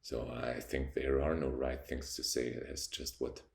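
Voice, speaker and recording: A young Austrian man with a very deep, gravelly voice speaks English with a German accent. He talks slowly and thoughtfully, pausing often to search for words, and his voice carries the echo of the room around him. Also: little pitch movement, a little mellow. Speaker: vlogger. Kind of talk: unscripted talking to camera. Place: high-rise apartment by a window.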